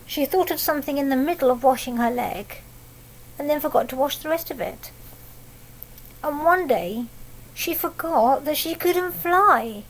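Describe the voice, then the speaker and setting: A woman reading a picture book aloud to young children, pausing to explain things simply and ask them questions. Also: sits high, slight background noise, rough recording. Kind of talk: read-aloud picture book storytelling with asides.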